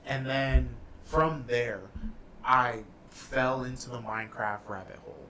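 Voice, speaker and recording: Young male podcast co-host, slow thoughtful explainer, light background hiss. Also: mid-range voice, fairly even intonation, bright, forceful tone, rough recording. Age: young adult. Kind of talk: casual chat about games and movies.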